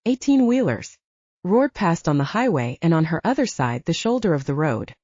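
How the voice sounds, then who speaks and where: A woman's voice: lowish voice, very animated, normal speed, warm tone, husky, no echo. A woman narrating fiction from a script as an audiobook reader. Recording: dull, lo-fi, dry studio-like sound.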